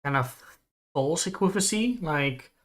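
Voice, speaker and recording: A man co-hosting a stream, talking in a casual, analytical way with a hesitant, measured manner. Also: a little high, expressive. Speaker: stream co-host.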